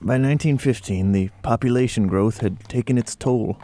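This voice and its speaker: A man giving scripted narration for a historical documentary, telling it deliberately like a storyteller. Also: medium pitch, mellow, no echo. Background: faint plucked guitar.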